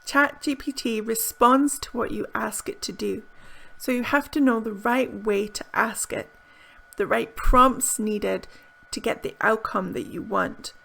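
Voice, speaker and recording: A woman teaching to camera with a bright, upbeat delivery, every word clearly enunciated. Her voice sits a little high and has a gravelly fry at the ends of phrases. She sounds close to the mic in a small indoor room. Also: unhurried, rough recording. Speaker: English teacher. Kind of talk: scripted video lesson.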